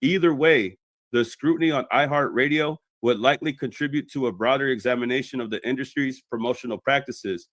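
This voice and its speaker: A man's voice: medium pitch, brisk, a bit bright, dry recording. Dry, close-sounding male commentator. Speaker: video commentator. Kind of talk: scripted explanatory commentary.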